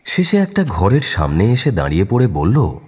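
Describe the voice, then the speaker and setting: A man's voice: fairly low pitch, wildly swooping pitch, normal speed, darkish tone, breathy edge. A man narrating a scripted crime audio story in a dramatic storytelling style.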